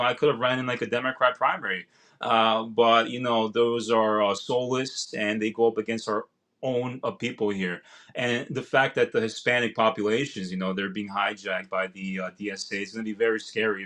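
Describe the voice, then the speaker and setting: A young man speaking earnestly on a video call from a room at home, talking loudly and rambling, restarting his sentences often. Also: fairly low pitch. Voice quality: loud voice. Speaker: political candidate guest. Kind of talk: casual livestream interview. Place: home room with vinyl records.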